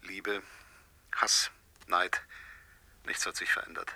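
Sharp, coolly menacing stranger. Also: male voice, sits low, flat delivery, whispered, exaggerated voice. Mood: quietly menacing. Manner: measured, formal, moralising, coolly menacing. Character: menacing, composed male stranger making threats by telephone. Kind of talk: radio drama dialogue.

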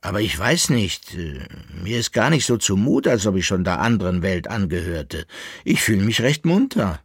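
A man voicing a wry, evasive fiddler who hesitates and feigns calm, in an audiobook story. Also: on the low side, moderate pace, breathy edge, no echo, clean studio sound, full character voice. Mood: feigned calm. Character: wry, evasive, forgetful male fiddler, resigned yet cheerful.

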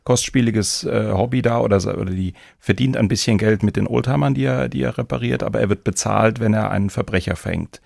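Male journalist co-host, heavily creaky, lowish, conversational and questioning. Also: a little fast, hardly any pauses, a little mellow, no echo, studio quality. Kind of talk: conversational discussion, asking questions.

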